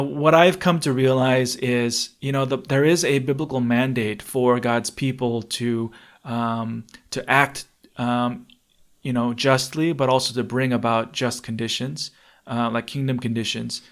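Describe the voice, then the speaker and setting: A man co-hosting a podcast, speaking in a reflective, measured way as he feels for his words. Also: mid-range voice, a bit bright. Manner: hesitant, thoughtful, measured. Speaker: podcast co-host.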